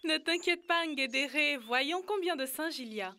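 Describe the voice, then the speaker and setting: A woman voicing a cheerful, eager child helper in a French-dubbed educational cartoon, lively and earnest. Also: sits high, measured pace. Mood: upbeat.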